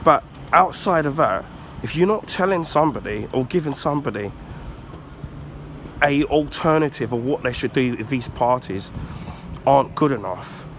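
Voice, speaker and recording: A man from the UK with a husky, congested voice from the flu, giving a casual rambling rant with many ums and throat clearing. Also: medium pitch, animated, moderate pace, a bit bright, slight background noise. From United Kingdom. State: husky, recovering from flu, blocked nose. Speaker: YouTuber.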